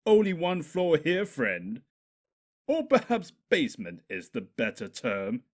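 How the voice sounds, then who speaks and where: A man's voice: on the high side, wildly swooping pitch, unhurried, voice pushed up, full character voice. A man voicing a cheerful, smug male pony with a mocking streak in a comic storybook reading. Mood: sneering, gleeful. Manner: cheerful, showy, nonchalant, mocking. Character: chirpy smug male pony, secretly a gleeful demon. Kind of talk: comedic storybook character voice.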